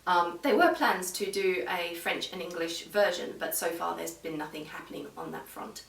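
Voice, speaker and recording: Lower-voiced woman reviewing, distant and echoey. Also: moderate pace, a bit bright. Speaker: video reviewer. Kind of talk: informal review talk to viewers. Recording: roomy echo, distant microphone.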